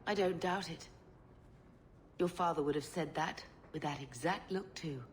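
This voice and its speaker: An actress playing a middle-aged noble mother in a video game, wistful and gently reflective. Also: low-pitched, measured pace, slight background noise. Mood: mournful, fond. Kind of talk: video game cutscene acting.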